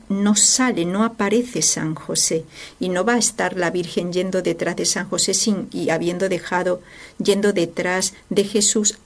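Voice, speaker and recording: A woman giving a devotional radio reflection, earnest and emphatic, asking rhetorical questions. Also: on the low side. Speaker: religious radio speaker.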